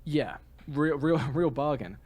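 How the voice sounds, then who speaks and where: A man's voice: on the high side, animated, takes it slowly, darkish tone. A man co-hosting a podcast, talking in a casual, conversational style. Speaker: podcast co-host.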